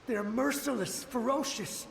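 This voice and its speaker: A man's voice: very high-pitched, normal speed, creaky, a little hiss or hum. A man playing the ghost of a desperate, loyal samurai soldier in a dramatic video game, with a reverberant effect on his voice. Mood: desperate, frightened. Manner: urgent, breathless pleading. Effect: reverberant ghostly echo. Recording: echoing reverb, music underneath.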